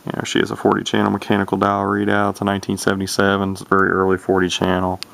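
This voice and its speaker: A man's voice: sits low, completely flat delivery, very fast, vocal fry, a bit bright. A man in his thirties giving casual, unscripted commentary in a folksy, rambling way, thinking aloud into a handheld camera's microphone. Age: 30s. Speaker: vintage CB radio collector showing an unboxing. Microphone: handheld camera's built-in microphone.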